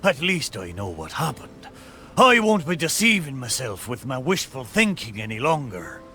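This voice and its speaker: An actor voicing the boisterous, emotional spirit of an old ship in a video game, with theatrical speech. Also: male voice, medium pitch, very animated, normal speed, bright, forceful tone, a little hiss or hum. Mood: resigned, relieved. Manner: theatrical, exclamatory pirate talk. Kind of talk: dramatic video game voice acting.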